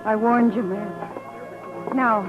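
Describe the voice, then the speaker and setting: A woman's voice: on the high side, steady tone, very slow, over music. An actress playing a weary, worldly saloon woman in a 1950s radio drama, speaking in an earnest pleading way. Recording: dull 1950s radio broadcast.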